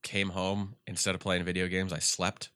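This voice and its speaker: A deep-voiced man talks games in a rambling, animated way, his nose stuffed up. His tone is bright and crisp. The recording is dry and close. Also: moderate pace, dry recording. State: congested. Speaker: podcast co-host. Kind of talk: casual gaming chat.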